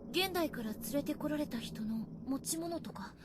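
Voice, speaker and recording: An actress playing an uneasy high school girl in a horror game. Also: animated, fast, over a noisy crowd. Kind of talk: Japanese game voice acting. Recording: loud noise, quiet game playback.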